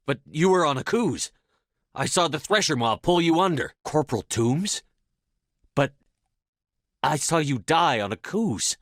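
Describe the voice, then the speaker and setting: A man acting in a video game as a commanding, resolute space soldier, speaking firmly with measured authority. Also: medium pitch, fairly bright tone, no room sound, clean studio sound. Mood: concerned, urgent. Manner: firm, authoritative, measured delivery. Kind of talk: scripted game dialogue acting.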